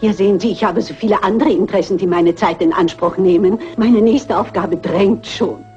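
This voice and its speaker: A woman's voice: medium pitch, moderate pace, dark tone, faint music behind, close to an everyday voice. An actress playing a shrewd, composed mature lady sleuth in a German radio crime drama, dry, precise and dignified, in a dull old recording. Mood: dry, polite.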